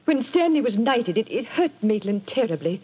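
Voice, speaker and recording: An actress playing an anxious middle-aged wife with a breathy, pleading manner, in 1940s radio acting on a lo-fi broadcast. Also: female voice, fairly high pitch, big pitch swings, moderate pace. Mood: distressed.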